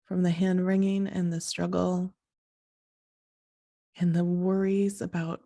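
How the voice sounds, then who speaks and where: A woman hosting a podcast in a soft, sleepy voice, talking in a slow, halting, reflective way. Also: lowish voice. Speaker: podcast host.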